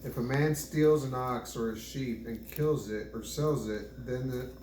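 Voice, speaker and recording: A man's voice: medium pitch, unhurried, a little mellow. Man reading scripture aloud, echoey room. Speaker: scripture reader. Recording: roomy echo, faint background noise.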